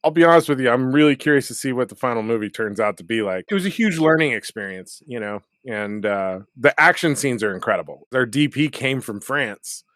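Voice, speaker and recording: A man, an actor guest in a casual chat, talking in an animated way with lots of fillers and frequent laughter. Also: medium pitch, fairly quick, hardly any pauses, dry recording, clean studio sound. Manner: animated, laughing often, many filler words.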